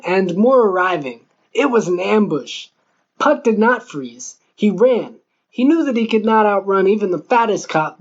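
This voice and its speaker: Male audiobook narrator, slightly dull sound. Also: high-pitched. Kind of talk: scripted narration of a comic novel.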